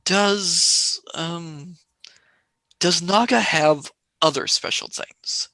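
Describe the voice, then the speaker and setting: A woman's voice: fairly low pitch, very slow, pushed and bright, no echo, heavily acted, rough recording. A performer playing a wry, sardonic young male space traveller who turns sarcastic and wheedling in a radio play.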